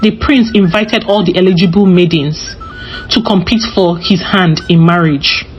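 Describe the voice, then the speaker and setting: Lower-pitched woman telling a folktale. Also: normal speed, slight background noise. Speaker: storyteller. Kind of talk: scripted folktale narration. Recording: slightly dull recording with music behind. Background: music.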